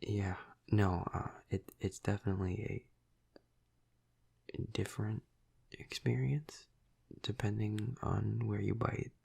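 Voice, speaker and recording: A man playing a flirty, tender vampire lover in an intimate ASMR roleplay, half-whispering in a breathy, husky voice with hesitant, teasing murmurs. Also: fairly low pitch, flat delivery, takes it slowly, long pauses, darkish tone, full character voice. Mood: shy, tender.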